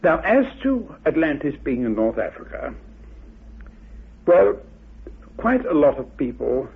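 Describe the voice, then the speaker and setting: Husky, creaky man, muffled sound. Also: fairly high pitch, big pitch swings, measured pace. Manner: courteous, formal, politely dismissive. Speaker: interviewed expert on Atlantis theories. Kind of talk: radio interview, measured scholarly exposition. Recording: muffled, band-limited radio recording.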